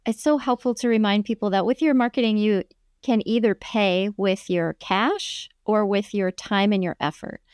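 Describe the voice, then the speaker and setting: Smooth-voiced woman giving calm advice. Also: medium pitch, moderate pace, dry recording, clean studio sound. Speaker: marketing coach, podcast guest. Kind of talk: conversational podcast interview.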